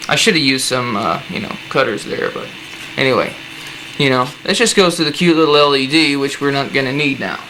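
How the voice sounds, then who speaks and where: A man's voice: medium pitch, brisk, bright, forceful tone, poor audio. A man presenting a casual, hands-on DIY tutorial with informal, slangy, laid-back phrasing. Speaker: presenter of a DIY how-to video. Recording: noticeable background noise.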